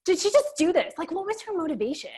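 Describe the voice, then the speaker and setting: A woman's voice: very high-pitched, sing-song, quick pace, mellow. A woman hosting a podcast, moving between scripted introduction and interview questions. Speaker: podcast host.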